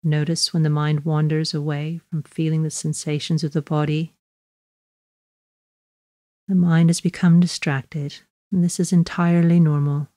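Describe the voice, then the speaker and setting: Woman, meditation guide, deep relaxed voice, slow measured phrasing, long pauses, gentle instruction. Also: no room sound, clean studio sound. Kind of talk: guided body scan meditation narration.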